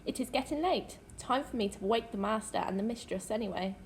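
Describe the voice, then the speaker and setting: A woman's voice: fairly high pitch, animated, fairly quick, slight background noise. A woman playing a huge, sombre servant of a vampire castle in an amateur radio drama. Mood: formal, unhurried.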